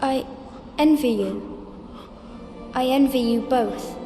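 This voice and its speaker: A woman's voice: sits high, slow, mellow. A woman voicing a lonely vampire child, plaintive and childlike, in a video game cutscene. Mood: wistful. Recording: echoing hall with music.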